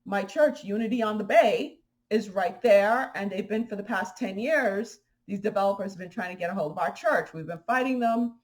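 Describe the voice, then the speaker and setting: A woman from Haiti talking casually to her viewers in a vlog, animated and indignant, with fillers and restarts. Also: mid-range voice, normal speed, few pauses, poor audio. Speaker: spiritual vlogger. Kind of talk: casual spoken commentary to viewers.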